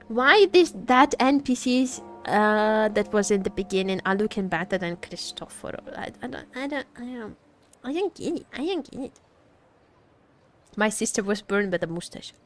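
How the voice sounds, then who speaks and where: A woman's voice: fairly high pitch, fairly bright tone. A woman streaming as a VTuber, chatting casually about a game in a teasing, joking, giggly way. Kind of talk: casual livestream chat reacting to a game.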